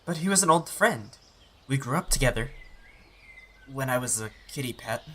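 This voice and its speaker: A man's voice: mid-range voice, lively intonation, normal speed, fairly bright tone, slight background noise, lightly acted. A man voicing an earnest young tomcat apprentice who used to be a house cat. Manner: earnest, eager. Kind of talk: fan audiobook voice acting.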